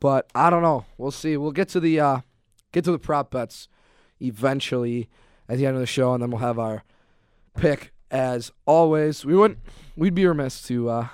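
A man's voice: medium pitch, normal speed, a little mellow, clean tone, dry recording. A young man co-hosting a sports radio show, bantering casually into a close studio mic.

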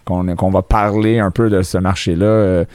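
A man's voice: low voice, little pitch movement, moderate pace, a little mellow, clean tone, no echo. Chatty Québécois man hosting. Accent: Québécois French. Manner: chatty, mixes English phrases, jokes. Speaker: podcast host. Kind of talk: casual interview chat.

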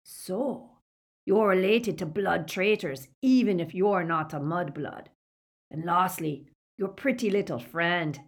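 A woman voicing a gloating, savage male werewolf villain in an audiobook, with a menacing, rasping growl. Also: fairly low pitch, a little slow. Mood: gloating menace. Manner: menacing, snarling.

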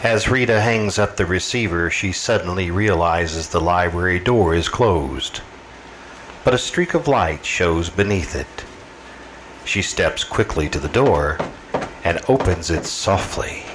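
A man's voice: low-pitched, measured pace, a bit bright, slight background noise, rough recording. An actor playing an ominous, all-knowing narrator of an old-time radio suspense story, a man telling it as scripted narration. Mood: suspenseful, measured. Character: ominous omniscient male mystery narrator. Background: music.

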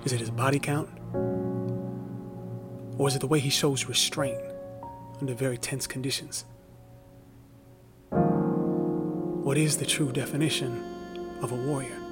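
A man's voice: medium pitch, fairly even intonation, normal speed, long pauses, lightly acted. An actor playing an earnest, reflective man in his twenties who was exonerated and tells his story in an audio drama. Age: 20s. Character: earnest 24-year-old exonerated man, resilient, reflective, forgiving. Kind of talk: audio drama monologue and interview. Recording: reverberant hall with faint music.

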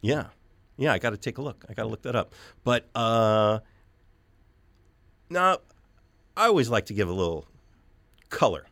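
A man's voice: lowish voice, animated, moderate pace, long pauses, fairly bright tone, no room sound. A man hosting a radio show, chatting casually with a guest in a studio, laid-back and playful. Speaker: radio host. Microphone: studio microphone.

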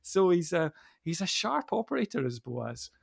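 A man's voice: high voice, sing-song, moderate pace, vocal fry, husky. A man on a discussion panel, talking conversationally and building emphatic points through repetition. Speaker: podcast panellist.

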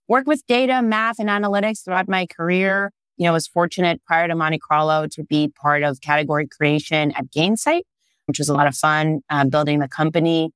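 A woman's voice: low-pitched, fairly even intonation, fairly quick, a bit bright, clean tone, no echo. A woman in her thirties, born and raised in Israel, answering interview questions as a startup founder, thoughtful and full of "you know" fillers. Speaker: startup founder and CEO, guest.

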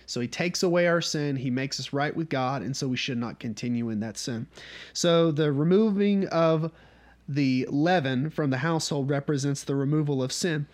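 A man's voice: a little high, moderate pace, darkish tone. A man from North Georgia with a Southern US accent, leading a casual Bible study and often checking in with his listeners. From North Georgia, USA. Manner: conversational, often checks in with "okay?".

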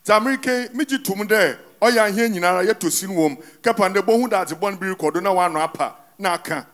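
A male interpreter with a high-pitched voice repeats the preacher's sermon line by line. He speaks right after each phrase. The sound comes from a church service. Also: fairly quick, a bit bright.

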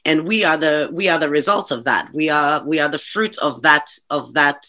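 A woman's voice: deep voice, normal speed, sharp tone. A woman from Ghana, a guest in a casual conversation, who talks passionately and emphatically and often restarts her sentences.

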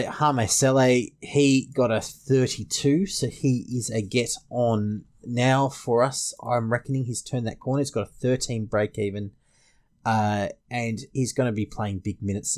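A man's voice: medium pitch, steady tone, moderate pace, darkish tone. A man with an Australian accent hosting a casual fantasy sports panel discussion. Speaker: podcast host.

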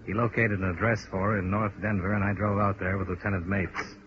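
Low-voiced hard-boiled radio investigator played by a man. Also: little pitch movement, fairly quick, lightly acted. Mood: matter-of-fact narration. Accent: American. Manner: clipped, hard-boiled, understated. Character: dogged, terse male insurance investigator narrating his case. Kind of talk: 1950s radio detective drama acting. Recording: muffled, noisy vintage radio recording.

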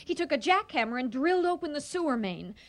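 A woman's voice: high-pitched, very animated, fast talker, no echo. A woman playing a feisty, indignant restaurant owner with a sarcastic streak in a radio comedy. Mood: indignant, exasperated. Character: exasperated, feisty female restaurant owner, competitive and vengeful.

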